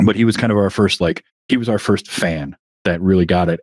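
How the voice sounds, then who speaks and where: A man guesting on a podcast, chatting in a relaxed way with plenty of fillers. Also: sits low, no room sound. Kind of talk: casual interview chat.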